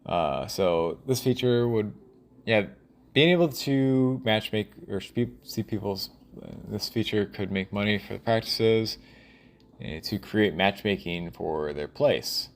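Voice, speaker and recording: A man thinking aloud in a rambling, casual audio log, halting and often trailing off mid-thought. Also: lowish voice, animated. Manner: casual, profane.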